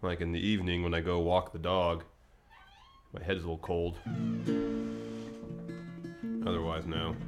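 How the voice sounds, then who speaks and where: Low-voiced guy with a bit of a stuffy cough, just chatting and reading chat, music going in the back. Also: completely flat delivery, moderate pace, pauses often. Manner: easygoing, reads chat aloud. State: congested, slight cough. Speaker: podcast co-host. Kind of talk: casual livestream chat.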